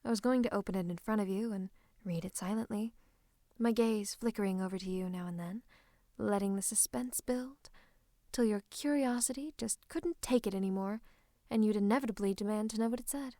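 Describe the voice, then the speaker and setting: A woman playing a scheming royal advisor in a fantasy roleplay, speaking close to the listener in a coaxing, teasing, falsely comforting way with breathy asides. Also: mid-range voice, a little fast, dark tone, dry recording, close to an everyday voice. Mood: flustered, conspiratorial. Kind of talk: roleplay ASMR monologue to the listener. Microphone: close microphone.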